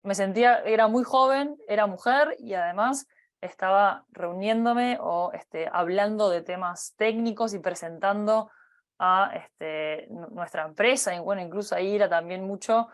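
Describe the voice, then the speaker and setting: A woman in her thirties with a Uruguayan accent, giving a personal career talk, pausing often with fillers and restarting her phrases. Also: medium pitch. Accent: Uruguayan Spanish. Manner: hesitant, many fillers and restarts. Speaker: company partner giving a talk.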